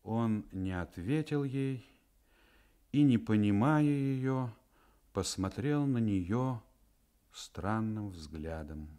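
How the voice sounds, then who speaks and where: A middle-aged Russian man giving a measured, solemn literary reading for radio. He rises to tense urgency in places and drops to a hushed near-whisper in others. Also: lowish voice, lively intonation, smooth. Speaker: narrator reading a classic novel. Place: radio studio.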